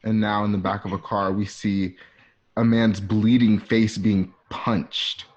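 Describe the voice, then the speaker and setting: A man's voice: lowish voice, level tone, a little slow. A man reading stage directions as narrator for a radio play over a dull online call. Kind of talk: scripted narration. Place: online live stream call.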